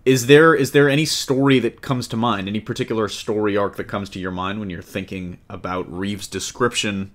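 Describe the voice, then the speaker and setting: Low-pitched man hosting a casual podcast. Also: steady tone, fairly quick, few pauses. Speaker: podcast host. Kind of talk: casual fan discussion of comic book films.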